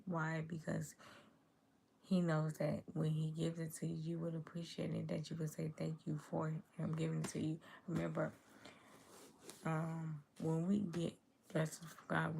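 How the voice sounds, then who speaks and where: A woman from Chicago hosts a casual livestream. She chats easily and answers viewers' comments aloud. Her voice sits low and stays level, with a gravelly edge. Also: a little mellow, slight background noise. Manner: easygoing, chatty, reads chat comments aloud and answers them. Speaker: livestream host.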